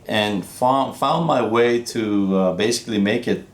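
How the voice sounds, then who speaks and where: A low-pitched man in his fifties from Iran, talking as a physician guest on an interview show. He speaks reflectively and haltingly, often restarting his sentences. The recording has an echoey room sound. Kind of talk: casual interview conversation.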